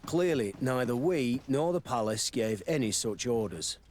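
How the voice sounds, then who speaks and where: An actor voicing a deferential garrison quartermaster in a video game, formal and businesslike. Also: male voice, mid-range voice, expressive, unhurried, darkish tone, a little hiss or hum, lightly acted. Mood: serious.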